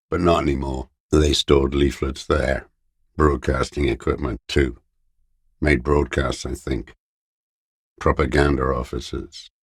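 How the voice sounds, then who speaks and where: A man playing a bitter old communist deserter in a video game, muttering in contemptuous, terse fragments with a gravelly, raspy voice. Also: a bass voice, no room sound, studio quality, exaggerated voice. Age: older. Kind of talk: video game character voice acting.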